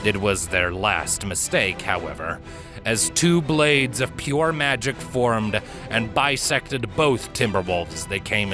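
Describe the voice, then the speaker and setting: A man reading fiction aloud as a dramatic story narrator, with theatrical, deliberate emphasis. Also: lowish voice. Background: background music.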